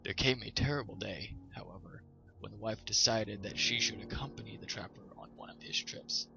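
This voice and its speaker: A man's voice: on the low side, a little fast, gravelly. A man hosting a story reading, narrating in a storyteller's style. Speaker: host and story reader. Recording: slightly dull sound, music behind.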